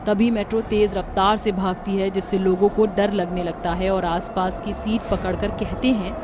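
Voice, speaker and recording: A woman narrating a scripted cartoon horror story in a steady, dramatic storytelling manner. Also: medium pitch, normal speed, noisy background.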